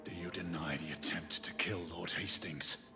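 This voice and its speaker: An actor playing a stern, suspicious Victorian knight in a video game, curt, formal and quick to anger. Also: male voice, deep voice, steady tone, gravelly, music in the background. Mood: angry, suspicious. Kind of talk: dramatic video game cutscene acting.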